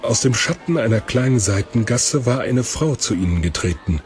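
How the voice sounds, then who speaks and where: A man narrating a fantasy adventure in a deliberate, descriptive storytelling style. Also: mid-range voice, animated, a little mellow, soft background music, close to an everyday voice. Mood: atmospheric. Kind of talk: scripted narration.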